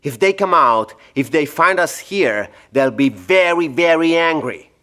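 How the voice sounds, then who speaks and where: A man's voice: a little high, expressive, measured pace, fairly bright tone. A man playing an anxious, self-justifying scientist with precise, formal diction in an audio drama. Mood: urgent, fearful. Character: blinded, guilt-free scientist doctor, anxious and urgent.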